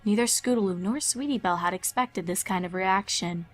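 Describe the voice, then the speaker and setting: A woman's voice: medium pitch, fairly quick, faint music behind. A woman reading a scripted horror story as a dramatic narrator.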